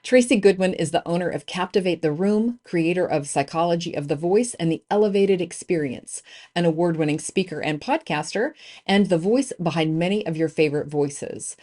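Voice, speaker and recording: A woman's voice: fairly low pitch, a little mellow. A woman hosting a business podcast, introducing the episode and her guest in an emphatic, chatty way with frequent fillers. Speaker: podcast host.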